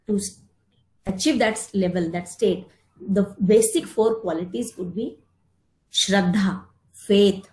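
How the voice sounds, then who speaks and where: A middle-aged woman with an Indian accent giving an instructive talk in short, deliberate, emphatic phrases, explaining terms as she goes. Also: a little high. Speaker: speaker giving a yoga philosophy talk. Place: indoor room, online meeting. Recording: roomy, echoing virtual meeting audio.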